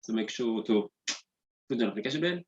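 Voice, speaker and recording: Fast-talking excitable man, native Hebrew speaker. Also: on the low side, muffled recording, slight background noise. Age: 30s. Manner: excitable, repeats words and says "guys" often. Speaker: podcast host. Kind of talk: enthusiastic solo video podcast intro.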